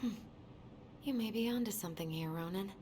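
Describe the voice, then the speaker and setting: A woman's voice: medium pitch, a little fast, roomy sound, in a large hall, noisy background, close to an everyday voice. A woman playing a guarded, skeptical young woman in a naturalistic video game cutscene. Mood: curious, tentative.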